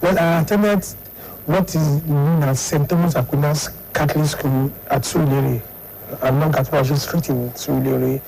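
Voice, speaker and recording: A middle-aged man from Lagos with a Nigerian accent, answering interview questions in a halting way and repeating himself. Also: on the high side, fairly even intonation, vocal fry, a little mellow, a little hiss or hum, poor audio. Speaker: studio guest, sports psychologist and academic.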